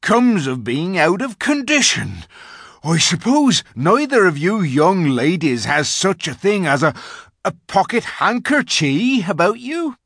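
Huge simple-hearted storybook giant: polite, rustic talk, lively raised pitch. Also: male voice, a little slow, slightly rough, dry recording, clean studio sound, exaggerated voice. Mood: puffed, friendly. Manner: rustic, ungrammatical, deferential speech.

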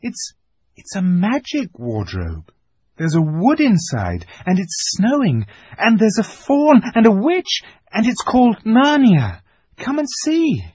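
A man voicing an earnest, excitable little girl who talks with eager insistence. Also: high voice, unhurried, breathy edge, dry recording, voice pushed up, heavily acted. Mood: excited.

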